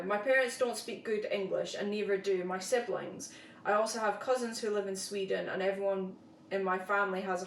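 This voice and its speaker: A woman's voice: medium pitch, roomy sound, distant mic, a little hiss or hum, rough recording. A woman co-hosting a casual show, reading online posts aloud and chatting about them. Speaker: podcast co-host reading posts.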